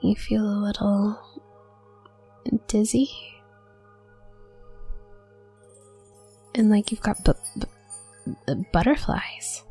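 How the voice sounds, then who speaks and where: Woman acting a shy, stuttering young witch, soft and slow. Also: mid-range voice, slightly rough, soft background music. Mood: nervous, hesitant. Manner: heavy nervous stutter, hesitant, halting. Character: shy, anxious, apologetic young witch student. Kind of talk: intimate audio roleplay acting.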